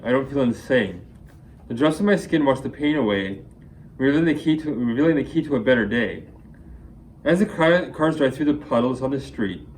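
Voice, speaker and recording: A man recites rhyming spoken-word verse in the manner of an amateur poet at a reading. His voice sits at a medium pitch, and there is faint noise in the background.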